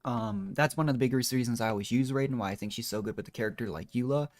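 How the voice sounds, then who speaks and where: A man's voice: medium pitch, fast. Man streaming casually, thinking aloud. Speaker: video game streamer.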